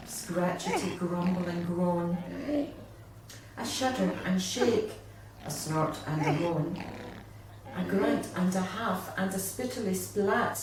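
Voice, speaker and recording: Woman reading a kids rhyming book, expressive n slow, really echoey room. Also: fairly low pitch. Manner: expressive, theatrical. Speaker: storyteller. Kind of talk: children's picture book read aloud in rhyme. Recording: echoey room, distant mic, faint noise.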